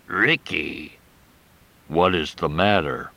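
A man voicing a calm teenage boy with a very deep, hollow voice. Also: takes it slowly, creaky, dry recording, full character voice. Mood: flat. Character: calm sixteen-year-old boy with cavernous deep voice.

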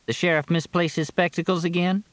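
Man voicing a high-pitched, folksy country woman. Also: little pitch movement, a little fast, no echo, close to an everyday voice. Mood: teasing. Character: folksy, teasing Southern country woman, outspoken and cheeky.

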